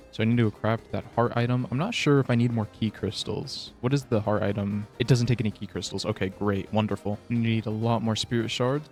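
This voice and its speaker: Excitable man with a slightly sick voice. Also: lowish voice. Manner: excitable, slangy, calls viewers fellas and bro. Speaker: gaming channel host. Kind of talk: casual gameplay commentary.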